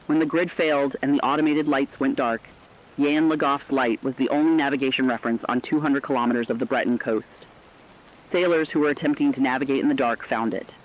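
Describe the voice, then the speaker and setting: A reflective actor as a male radio host narrates in a mid-pitched voice with plain, deliberate phrasing. The sound is slightly dull and lo-fi, like scripted late broadcast storytelling. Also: natural voice. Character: reflective male radio host telling human stories during disaster.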